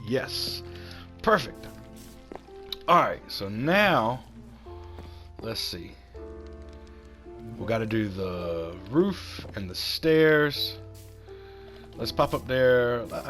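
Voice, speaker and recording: A man with a cold, hoarse and a little raspy, chatting casually while he builds in a video game and talks himself through each step. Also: mid-range voice, expressive, slow, long pauses, a bit bright, soft background music. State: hoarse from sinus infection. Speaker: gaming channel host.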